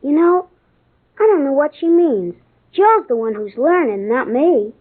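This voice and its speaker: A woman's voice: sits high, big pitch swings, unhurried. A woman playing an earnest, kind-hearted, matter-of-fact schoolboy with an American accent in an old-time radio drama. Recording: tinny, band-limited old radio broadcast.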